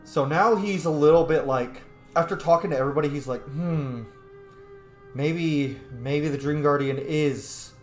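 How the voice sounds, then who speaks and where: A man's voice: fairly high pitch, a little slow, faint music behind. A man giving casual, informal commentary as he streams a game playthrough, with chatty asides. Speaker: gaming streamer. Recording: roomy echo, faint background noise.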